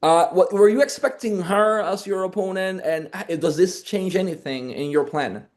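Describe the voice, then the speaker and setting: A man's voice: high-pitched, normal speed, darkish tone. A man hosting a wrestling interview, eager and talkative as he asks his questions. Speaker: interview host. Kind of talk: energetic sports interview.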